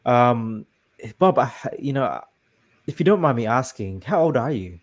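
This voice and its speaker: A man's voice: mid-range voice, sing-song, measured pace. A man hosting a casual interview, talking in a hesitant, agreeable way full of restarts. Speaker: host.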